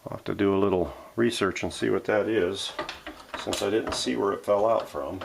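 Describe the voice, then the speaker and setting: A man's voice: sits low, gravelly, a little hiss or hum. A man giving casual, step-by-step narration as he works, muttering his thoughts aloud in a workshop. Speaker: vintage radio restorer hosting a workshop video. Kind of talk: casual hands-on narration while working. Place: home workshop.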